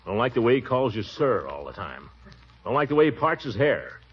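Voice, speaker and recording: Blustering pompous uncle. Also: male voice, sing-song, fairly quick, slightly rough. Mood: grumbling. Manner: blustery, exasperated, swooping pitch. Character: blustering pompous middle-aged bachelor uncle. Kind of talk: 1940s radio acting. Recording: dull old radio broadcast with hiss.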